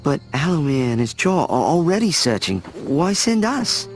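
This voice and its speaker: A man's voice: a little high, sing-song, moderate pace. An actor voicing an eager young male owl who questions his orders, with game music behind. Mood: doubtful. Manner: questioning, earnest.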